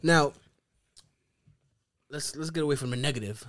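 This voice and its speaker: A man's voice: on the high side, moderate pace, fairly bright tone, no room sound, studio quality. A man in his thirties hosting a podcast, teasing his friends while steering the questions. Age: 30s. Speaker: podcast co-host. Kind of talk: casual chat.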